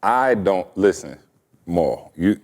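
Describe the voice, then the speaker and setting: A man with a deep voice and a stern tone hosting a podcast, telling a lively story and laughing often. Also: takes it slowly. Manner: animated storyteller, laughs a lot. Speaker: podcast host. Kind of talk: casual chat, storytelling.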